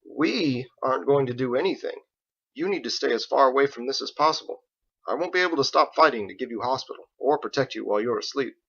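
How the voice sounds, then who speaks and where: A man voicing a calm, resolute master in an audiobook story, speaking in a measured, firm, matter-of-fact way. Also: medium pitch, husky, muffled recording. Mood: firm, thoughtful. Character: calm, resolute male master, patient and grave.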